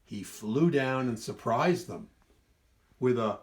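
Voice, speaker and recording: A man's voice: medium pitch, takes it slowly. Man reading a children's story aloud expressively. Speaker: storyteller reading his own book.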